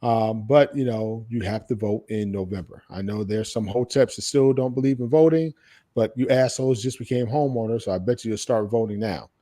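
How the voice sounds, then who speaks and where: A man's voice: lowish voice, fairly quick, warm tone. An American man hosting a casual podcast chat, speaking in a blunt, salty-tongued way. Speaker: podcast host.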